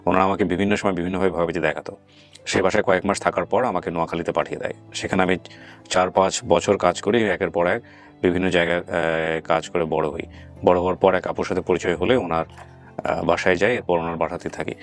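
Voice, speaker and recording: A man with a Bangladeshi accent hosting and interviewing guests in a brisk, directing manner. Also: deep voice, fairly even intonation, soft background music. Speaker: host.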